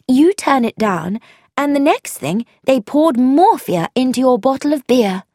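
Woman doing an eager young woman sleuth, high, emphatic, lively. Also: a little slow, no room sound, clean studio sound. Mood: excited. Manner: excited, emphatic, higher-voiced.

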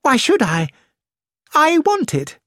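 A woman's voice: sits high, huge pitch swings, slow pace, no room sound, clean studio sound, full character voice. A woman reading the part of a cheerful, frank young actress who speaks breezily and bluntly. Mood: breezy. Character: flighty, self-assured young actress wife.

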